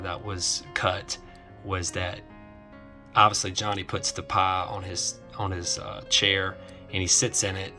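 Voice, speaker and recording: A man hosting an informal explainer commentary, halting as he repeats and restarts his phrases, with music behind him. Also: sits low, bright, forceful tone. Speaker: host of an eighties film nostalgia series.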